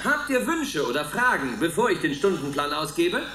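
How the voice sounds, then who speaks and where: A man's voice: a little high, sing-song, normal speed, roomy sound, music in the background, low-quality recording. A man acting in a radio play as a self-satisfied, falsely cheerful minder of a futuristic colony, with a sing-song officious manner. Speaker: activity supervisor.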